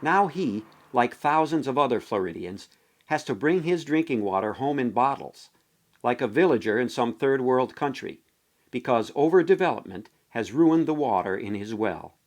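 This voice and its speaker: A man's voice: medium pitch, lively intonation, normal speed. A man reading scripted documentary narration as the narrator.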